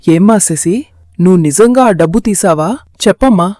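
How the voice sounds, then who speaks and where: A woman's voice: lowish voice, expressive, moderate pace, warm tone, no room sound, clean studio sound, close to an everyday voice. A woman voicing a remorseful mother-in-law in a cartoon family drama.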